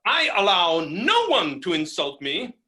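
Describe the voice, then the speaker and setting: A man playing a pompous, belligerent gentleman creditor in a theatrical staged reading of a farce, blustering and combative. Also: sits high, huge pitch swings, measured pace, heavily acted. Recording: home recording over video call.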